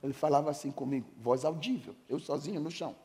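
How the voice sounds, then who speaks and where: A middle-aged Brazilian pastor preaching with a high, bright voice. He drops to a hushed storyteller's tone, then builds to shouted declarations. A long church-hall reverb trails every phrase. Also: fast talker. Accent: Brazilian Portuguese. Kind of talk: sermon with personal testimony.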